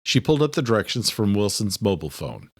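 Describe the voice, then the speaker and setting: A man's voice: on the low side, big pitch swings, fast talker, no room sound, clean studio sound. A man giving dramatic scripted narration as an audiobook narrator.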